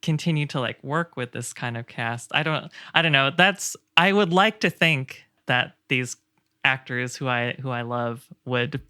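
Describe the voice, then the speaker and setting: A man in a casual discussion, speaking in a hesitant, thoughtful way with halting phrasing. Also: medium pitch, pushed and bright, smooth, no room sound, clean studio sound.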